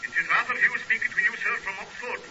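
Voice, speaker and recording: An actor playing a deferential, grief-stricken college servant, halting and forever polite, in 1950s British radio drama style. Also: male voice, extremely high pitch, steady tone, pushed and bright, recorded from a distance, exaggerated voice. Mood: distressed, deferential. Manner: halting, deferential, repeatedly saying sir. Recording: muffled, noisy, crackly old radio recording.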